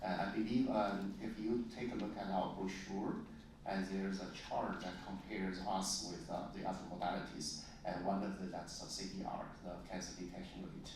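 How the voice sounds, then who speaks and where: Deep, flat male presenter, halting answer, far from the mic. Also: a little hiss or hum. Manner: halting, many fillers. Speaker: presenting speaker. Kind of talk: conference Q&A answer. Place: large conference hall. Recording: echoey hall, distant mic.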